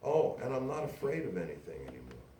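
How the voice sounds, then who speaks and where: Man voicing an excitable, brash woman, pitched up. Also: quick pace. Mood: awed, excited. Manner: emphatic, breathless insistence, calls people dearie. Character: brash, excitable woman small-press publisher, proud and gushing. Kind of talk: dialogue in a live literary reading. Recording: roomy, distant live recording with noise.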